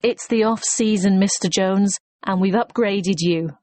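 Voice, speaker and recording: A woman's voice: mid-range voice, measured pace, darkish tone, dry recording, close to an everyday voice. A woman playing a courteous, efficient hotel receptionist in a scripted listening-test dialogue, formal and helpful.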